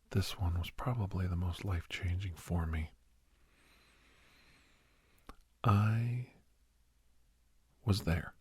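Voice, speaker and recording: A man playing a grave doctor in an ASMR roleplay, telling a haunting memory in a hushed, halting, breathy voice that often drops to a whisper. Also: sits low, no echo, full character voice. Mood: grave, haunted. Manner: halting, hushed, dramatic delivery. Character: grave male doctor recounting a frightening memory to youngster.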